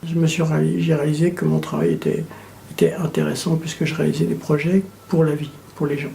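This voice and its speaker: Middle-aged guy, gravelly and a bit high, slow thoughtful interview answers, faint noise behind. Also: level tone, warm tone. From Morocco, raised in France. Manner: measured, thoughtful, repeats words while searching. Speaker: electrical engineer interviewee. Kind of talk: reflective interview talk.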